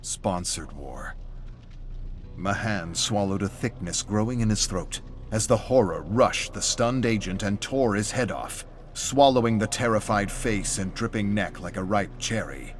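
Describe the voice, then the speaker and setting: Low-pitched man narrating a dramatic story. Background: music.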